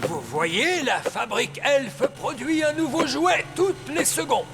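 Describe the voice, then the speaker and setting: A wise old elf from a children's cartoon, proud and didactic. He speaks slowly and deliberately with animated intonation. Music plays clearly behind the voice. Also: extremely high pitch, full character voice. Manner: didactic, self-important.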